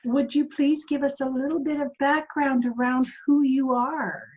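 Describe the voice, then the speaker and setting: A woman's voice: sits high, fairly even intonation, normal speed, a little mellow. Woman radio host, dull lo-fi sound. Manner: welcoming, scripted intro then conversational. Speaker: host and life coach. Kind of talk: radio show hosting. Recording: dull lo-fi sound with faint music.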